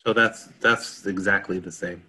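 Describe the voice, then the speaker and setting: A man's voice: low voice, steady tone, moderate pace, sharp tone. A man working as a consultant, explaining a technical standard informally and in plain terms in a discussion. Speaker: consultant panelist.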